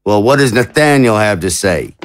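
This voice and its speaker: An actor playing an anguished, indignant and naive president in an audio drama, with stammering, rhetorical speech. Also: male voice, lowish voice, expressive, normal speed, slight background noise, natural voice. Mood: startled. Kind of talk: dramatic audio fiction acting.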